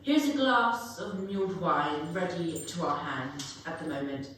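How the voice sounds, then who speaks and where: A woman playing a cheerful, forgiving young man in a dramatic reading, with a genial, animated delivery. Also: lowish voice, unhurried. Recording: very echoey room, distant mic, faint noise.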